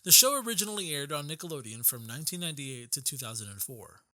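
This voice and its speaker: Bright, lively young male narrator. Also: fairly high pitch, quick pace, no room sound. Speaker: video essay narrator. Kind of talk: scripted documentary narration. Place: close, dry voice-over recording.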